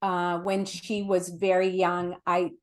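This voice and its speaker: A woman's voice: on the low side, completely flat delivery, takes it slowly. A middle-aged woman giving conversational spiritual teaching as an interview guest, earnest and emphatic, explaining with everyday examples.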